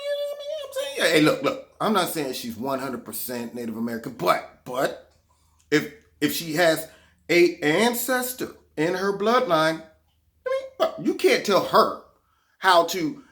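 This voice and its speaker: A man's voice: a little high, a bit bright. A man hosting his own political commentary vlog, talking sarcastically and repeating words when he gets heated. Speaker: vlog host.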